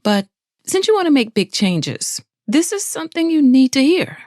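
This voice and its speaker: Worldly professor lady, middle-aged, calm n condescending, voice swings up and down, very dry recording. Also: high voice, normal speed, dry recording. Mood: lecturing. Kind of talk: audio drama acting.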